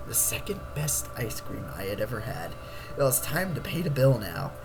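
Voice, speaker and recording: A man's voice: mid-range voice, very animated, moderate pace, creaky, noisy background. A man narrating a first-person story as a comedic dramatic reading. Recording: loud background music. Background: music.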